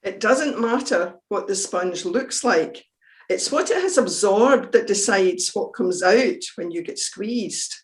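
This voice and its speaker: Slow reflective woman in a roomy space. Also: mid-range voice. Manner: calm, reflective reading. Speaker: devotional reader. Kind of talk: scripted church reflection reading. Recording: roomy echo.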